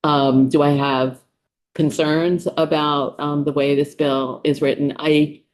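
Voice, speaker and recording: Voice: medium pitch, flat delivery, slow. An official with a New York City accent testifying before a legislative committee, speaking conversationally with frequent ums and restarts. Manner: conversational, many ums, restarts mid-sentence. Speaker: state official testifying as a witness.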